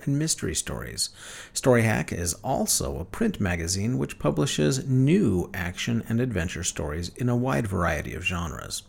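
A man's voice: on the low side, gravelly, a little mellow, husky. A man hosting a podcast, giving a scripted introduction. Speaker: podcast host.

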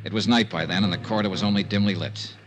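An actor playing a tough, wry investigator, clipped and matter-of-fact, in a 1950s radio detective drama. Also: male voice, lowish voice, rapid-fire, over music, natural voice. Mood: wry, hard-boiled. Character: tough, wry male insurance investigator narrating his case.

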